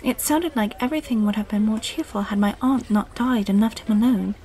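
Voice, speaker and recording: Woman as a curious lonely little girl telling the story, highish voice, faint hiss. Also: brisk, warm tone, close to an everyday voice. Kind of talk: first-person storybook narration.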